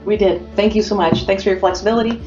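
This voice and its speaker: A woman's voice: mid-range voice, fairly even intonation, quick pace. A woman guest in a remote business interview, talking in an upbeat, promotional way with frequent fillers. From United States. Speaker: industry guest from a printing company. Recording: roomy, dull audio with faint music.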